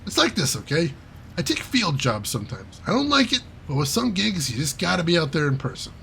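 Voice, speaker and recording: A man's voice: a little high, sing-song, brisk, creaky, sharp tone, a little hiss or hum, exaggerated voice. A man reading aloud and voicing a wry male hacker character who tells a self-deprecating heist story in a chatty way. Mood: sheepish.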